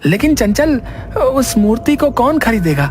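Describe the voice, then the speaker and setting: A man playing a cheeky, foolish village husband and petty swindler, animated and full of questioning interjections. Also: extremely high pitch, a little slow, over music, heavily acted. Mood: puzzled.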